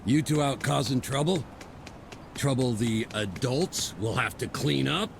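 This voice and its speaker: A man's voice: mid-range voice, measured pace, gravelly, slight background noise. An actor playing a contemptuous male Spartan bully in a video game, with faint outdoor ambience behind. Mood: sneering.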